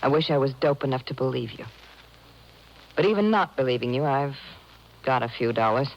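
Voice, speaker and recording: A woman's voice: a bass voice, brisk. An actress playing a guarded, bitter, lovesick woman shielding a crook in a 1950s radio crime drama. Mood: wounded, tender. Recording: dull, noisy old radio broadcast tape.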